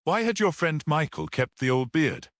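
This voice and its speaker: A man voicing a sceptical gentleman in a detective audiobook, questioning and incredulous. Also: a little high, expressive, moderate pace, no room sound, clean studio sound, voice pushed up. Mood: puzzled, questioning. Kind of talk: classic detective audiobook character reading.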